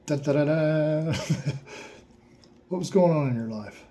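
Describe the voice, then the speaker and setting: A man slowly asking listeners a series of reflective questions, with playful asides in a surprised voice. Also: fairly high pitch, warm tone. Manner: slow, repetitive questions with mock-surprised asides.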